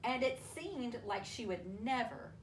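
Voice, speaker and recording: Woman reading aloud slowly, very lively pitch. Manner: expressive, deliberate storytelling with drawn-out emphasis. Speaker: school superintendent reading a picture book aloud to families. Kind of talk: children's storybook read-aloud. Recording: roomy, distant mic with faint noise.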